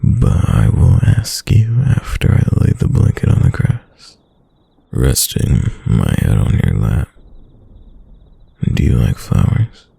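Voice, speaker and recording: Devoted boyfriend for late-night roleplay ASMR, acted by a man: extremely deep, heavy vocal fry, tender murmur. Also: huge pitch swings, takes it slowly, mellow, dry recording, heavily acted. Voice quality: deep, gravelly, breathy. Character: devoted soft-spoken boyfriend whispering to his sleeping lover.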